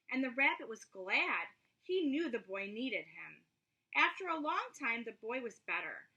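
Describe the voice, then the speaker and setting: A woman reading a children's story aloud in an expressive, dramatic manner. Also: fairly high pitch, slightly rough, muffled recording. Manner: dramatic, with whispered asides.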